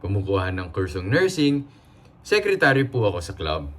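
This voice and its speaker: A man's voice: on the low side, big pitch swings, moderate pace, fairly bright tone. A Filipino man playing a polite, playfully teasing eighteen-year-old student in an amateur dramatic reading. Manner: deferential, playful. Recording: faint background noise.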